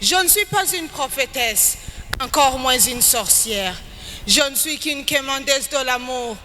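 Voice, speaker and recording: Woman w doing slow dramatic poem recital, really bright forceful voice, big echoey hall. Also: sits high, animated. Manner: slow, emphatic, declamatory delivery with rising and falling phrases. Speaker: poet reciting on stage. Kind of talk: dramatic spoken-word poetry recitation. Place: large hall at a live event. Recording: echoey hall with background noise.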